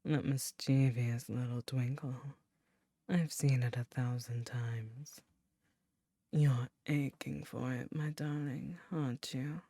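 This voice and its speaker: A woman voicing an ancient, seductive yet motherly female demon queen, teasing and then gently nurturing in soft, intimate whispers for an ASMR roleplay. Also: rumbling low, fairly even intonation, takes it slowly, no room sound, heavily acted.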